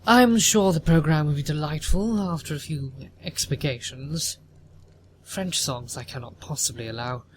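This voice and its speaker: Noisy recording, acted haughty older Victorian lady, low for a woman, pompous, disapproving, commanding. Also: normal speed, natural voice. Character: haughty, domineering older aristocratic Victorian aunt. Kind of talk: audio play acting of a comedy of manners. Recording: noticeable background noise.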